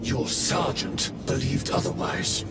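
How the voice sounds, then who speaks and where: Slow, gravelly stern warrior chaplain. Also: male voice, mid-range voice, expressive, exaggerated voice. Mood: cold, accusing. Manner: slow, accusatory, measured. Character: stern, menacing armored chaplain, a grim inquisitorial warrior. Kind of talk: video game cutscene acting. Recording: echoing voice under loud music.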